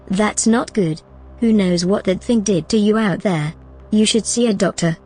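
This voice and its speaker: A woman playing a calm, measured station AI. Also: mid-range voice, faint music behind, lightly acted. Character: calm AI station assistant woman, helpful, precise. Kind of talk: audio drama acting.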